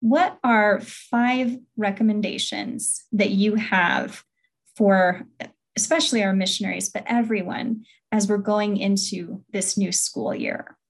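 A woman's voice: medium pitch, slow pace, creaky. A woman hosting a podcast, speaking in a conversational interview style. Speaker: podcast host.

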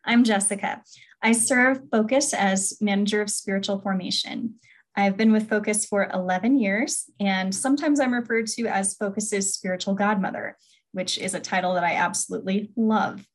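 A woman's voice: medium pitch, normal speed. A woman hosting a podcast, speaking in a conversational interview style. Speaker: podcast host.

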